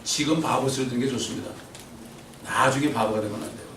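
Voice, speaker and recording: A man's voice: mid-range voice, fast, a bit bright, a little hiss or hum. Man preaching in an echoing church. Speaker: pastor. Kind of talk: sermon. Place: church sanctuary. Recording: roomy church echo from far off.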